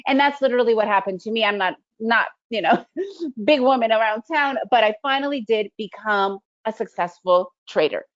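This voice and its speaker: A middle-aged woman whose first language is Russian leads a trading webinar in a fairly high, conversational voice, often checking in with "right?". She comes through a video call with slightly dull, lo-fi sound. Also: normal speed. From Soviet Union, raised in the United States. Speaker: day trading educator. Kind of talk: webinar presentation.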